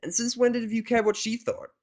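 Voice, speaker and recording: A man's voice: very high voice, little pitch movement, brisk, a little mellow, voice pushed up. A man voicing a brash, cocky female cartoon pegasus pony who teases casually in a fan audio drama. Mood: teasing, coaxing. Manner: casual, boastful, teasing.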